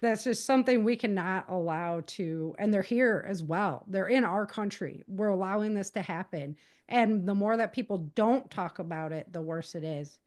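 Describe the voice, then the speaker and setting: A woman's voice: mid-range voice, moderate pace, hardly any pauses, darkish tone. American woman, earnest and informal. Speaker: podcast guest, author and self-defense teacher. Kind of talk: conversational interview answers.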